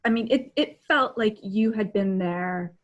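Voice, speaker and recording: A middle-aged woman co-hosting a casual video-call chat, excitable and halting, often repeating herself, with a husky voice. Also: medium pitch, highs cut off. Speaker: co-host. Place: indoor room, on a video call.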